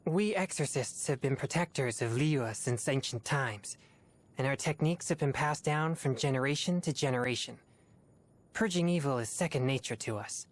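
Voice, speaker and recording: A woman voicing an earnest, formal teenage boy exorcist in a video game, speaking in a measured way. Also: extremely low pitch. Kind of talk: video game character voice lines.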